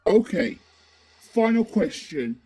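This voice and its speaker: Casting: woman voicing brooding female crimefighter, unhurried, dark muted timbre, curt and demanding interrogator. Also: mid-range voice, very animated, breathy edge, soft background music, heavily acted, low-quality recording. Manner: curt, deliberate questioning. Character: terse, brooding masked female vigilante, demanding. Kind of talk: fan audio drama dialogue.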